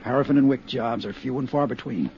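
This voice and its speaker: An actor playing a brisk, confident male arson investigator in a 1950s radio drama. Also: mid-range voice, gravelly, close to an everyday voice. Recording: dull 1950s radio broadcast.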